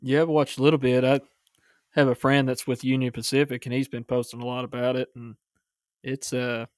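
A man's voice: mid-range voice, flat delivery, fairly quick, no room sound, clean studio sound. A man with an American accent chatting casually as a podcast co-host. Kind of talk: casual chat.

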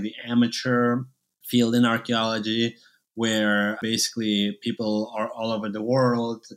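A young man guest on a podcast, talking easily and hedging with you know and like. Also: medium pitch. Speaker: interview guest. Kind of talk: casual interview chat.

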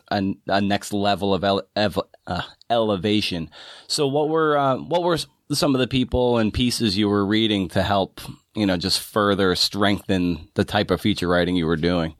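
Male podcast host, lowish voice, casual halting questions, close dry recording. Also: no room sound.

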